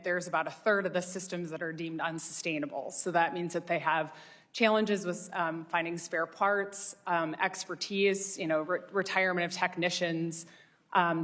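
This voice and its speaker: A woman giving unscripted formal testimony as an expert witness, explaining in a hesitant, measured way. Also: on the low side, a bit bright. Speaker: expert witness from an oversight body.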